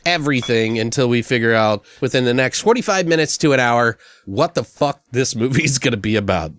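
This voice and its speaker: A man hosts a loose comedy podcast with a medium-pitched voice in a dry recording. He improvises casually, swears freely and sounds animated and giggly. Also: a little fast, no echo. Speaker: podcast host.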